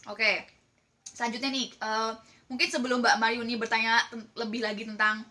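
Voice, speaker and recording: A woman's voice: fairly high pitch, flat delivery, moderate pace, bright, forceful tone. An Indonesian-accented woman presenting as a team leader, explaining casually and chattily while often checking in with listeners.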